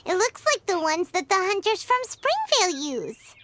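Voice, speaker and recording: A woman's voice: extremely high pitch, sing-song, moderate pace, background noise. A woman voicing a tiny, bubbly fairy-like girl companion who talks in chirpy exclamations. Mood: excited.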